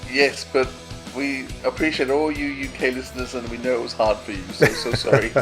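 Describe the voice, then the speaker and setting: A man's voice: mid-range voice, level tone, brisk, over music. A man, talking casually as a podcast co-host.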